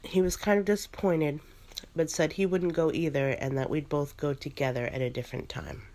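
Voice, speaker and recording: A woman's voice: low-pitched, fairly even intonation, normal speed, a little mellow. Woman telling an ASMR ghost story, gum clicking. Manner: chews gum while narrating.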